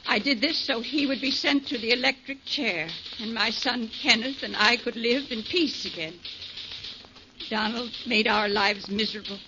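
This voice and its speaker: Woman acting grim, stubborn mother, highish voice. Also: lightly acted. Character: stubborn, bitter, protective mother of grown sons. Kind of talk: 1940s radio acting. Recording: noisy, muffled 1940s radio recording.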